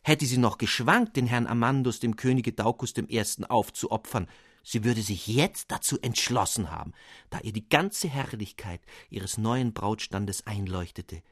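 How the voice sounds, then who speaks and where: A man reading a fairy tale aloud in an expressive, measured narration. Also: lowish voice, no room sound, clean studio sound. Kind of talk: storybook reading.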